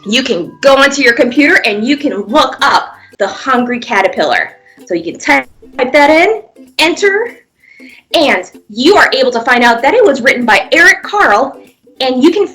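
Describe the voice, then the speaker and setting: Bright, animated woman leading children's pretend play. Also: high-pitched, normal speed, soft background music. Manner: simple encouraging step-by-step prompts with playful sound effects. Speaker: children's museum presenter leading a pantomime activity. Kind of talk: guided pretend-play narration for young children.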